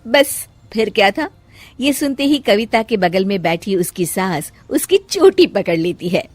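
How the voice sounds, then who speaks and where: A woman's voice: mid-range voice, big pitch swings, measured pace, no echo, soft background music. A woman narrating a cartoon story from a script.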